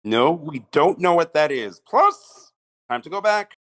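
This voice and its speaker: A woman's voice: low-pitched, very animated, unhurried, fairly bright tone, exaggerated voice. A woman voicing a cautious older sibling in a fantasy screenplay, with long reverb on the voice. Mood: wary. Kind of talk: amateur table read acting.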